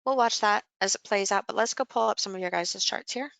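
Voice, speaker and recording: A woman's voice: mid-range voice, fairly quick, creaky, a bit bright, no echo. A woman coaching a live session, explaining things informally and conversationally as she thinks aloud over charts.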